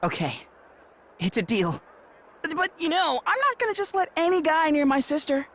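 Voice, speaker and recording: A man's voice: very high-pitched, sing-song, normal speed, fairly bright tone, heavily acted. An actor playing an earnest teenage boy blacksmith and protective brother in a video game, sincere and a little hesitant. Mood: relieved, determined.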